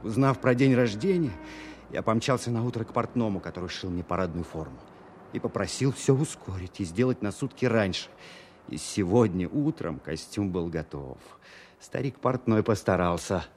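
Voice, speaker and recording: An actor playing a proud young army captain and war veteran, lovestruck and resentful, in a measured, expressive audio drama performance. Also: male voice, fairly low pitch, slight background noise, lightly acted. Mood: wistful, confiding. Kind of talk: Soviet-style audio drama, first-person narration and dialogue.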